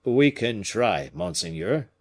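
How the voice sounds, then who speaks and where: A man's voice: fairly low pitch, big pitch swings, takes it slowly, full character voice. A man reading an audiobook, voicing a timid, deferential river boat skipper.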